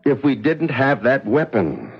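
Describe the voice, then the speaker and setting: A muffled 1970s radio drama features an anguished, principled scientist. His delivery is earnest and emphatic, with halting moments and wide pitch swings. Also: wildly swooping pitch, creaky, slightly rough, exaggerated voice. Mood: earnest pleading. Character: anguished, principled male scientist resisting orders.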